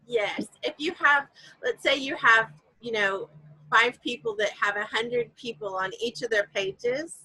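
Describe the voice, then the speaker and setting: A woman's voice: on the high side, measured pace, bright, forceful tone, husky, no echo, rough recording. A woman guest explaining her ideas emphatically in a casual interview, with examples. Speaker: guest course creator.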